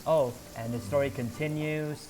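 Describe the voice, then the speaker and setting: A man's voice: on the high side, fairly even intonation, moderate pace, darkish tone, a little hiss or hum, low-quality recording. A man casually commenting on a game while streaming, rambling with lots of fillers and little laughs. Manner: rambling, filler-heavy, giggly. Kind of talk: casual live commentary over gameplay.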